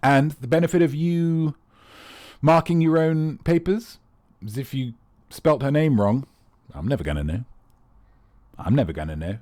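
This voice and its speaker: A man's voice: medium pitch, moderate pace, mellow, dry recording. A man hosting a live comedy quiz, rambling and playful with teasing asides to the players. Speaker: quiz host.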